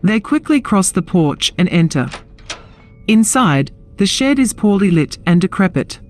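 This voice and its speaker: A woman's voice: medium pitch, big pitch swings, unhurried, darkish tone, a little hiss or hum. A woman narrating a scripted fantasy audio drama in a descriptive storytelling style. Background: music.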